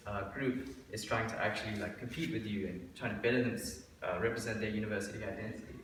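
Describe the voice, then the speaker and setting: A man's voice: medium pitch, slight background noise. A young man giving a class presentation in a dim lecture room, talking with hesitant fillers and restarts. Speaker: student presenter. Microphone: far room mic. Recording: echoey room from far off.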